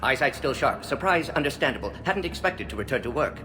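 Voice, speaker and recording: A man playing an aging male alien scientist in a video game, brisk and earnest, talking in clipped telegraphic phrases that drop small words. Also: mid-range voice, expressive, close to an everyday voice. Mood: brisk, assured. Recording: loud music behind the voice.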